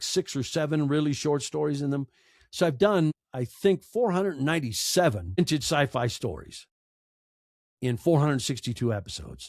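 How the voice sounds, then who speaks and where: A man's voice: mid-range voice, no echo, studio quality. A middle-aged American man giving candid, conversational interview answers in an earnest, emphatic, emotional way. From United States. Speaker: interview guest, audiobook narrator and podcaster.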